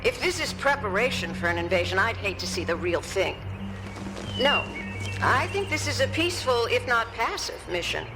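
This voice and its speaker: A woman's voice: a little high, big pitch swings, moderate pace. A woman playing a level-headed, dry-witted scientist in a radio drama, with an analytical delivery. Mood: measured. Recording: music behind the voice.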